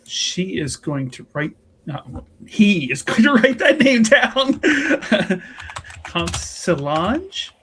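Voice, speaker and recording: A tabletop roleplaying player casually improvising narration of a character's actions, animated and giggly, often rephrasing midway. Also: mid-range voice, drawn-out words. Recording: clear background noise.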